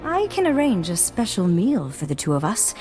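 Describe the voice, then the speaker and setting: A woman's voice: medium pitch, wildly swooping pitch, normal speed. An actress playing a refined, flirtatious noblewoman in a video game cutscene, speaking with formal poise. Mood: coolly flirtatious. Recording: long hall reverb, music behind.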